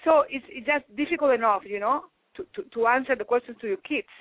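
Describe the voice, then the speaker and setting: A middle-aged woman from Mexico, a native Spanish speaker, speaking as a radio guest in careful, halting English with many restarts. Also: on the high side, no echo. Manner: halting, searching for words, restarts. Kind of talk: call-in radio interview. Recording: tinny phone line.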